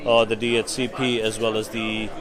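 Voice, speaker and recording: A man's voice: lowish voice, monotone, moderate pace. A man giving an informal, explanatory product demonstration as a company representative. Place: indoor tech conference event. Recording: busy, noisy conference hall crowd. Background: crowd chatter.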